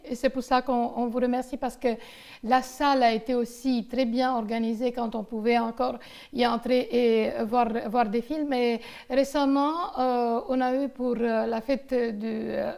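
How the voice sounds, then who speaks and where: A woman's voice: on the high side, fairly even intonation, normal speed, gravelly, darkish tone. A woman interviewing someone casually about film, talking in a rambling, hesitant way full of digressions.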